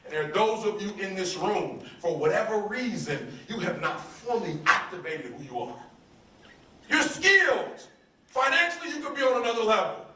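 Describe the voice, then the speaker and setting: High, bright, emphatic motivational speaker man. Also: very animated, normal speed, far from the mic. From United States. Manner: emphatic call-and-response preaching, repeats phrases. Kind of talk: live motivational speech. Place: large room with audience. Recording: roomy hall, voice from off-mic.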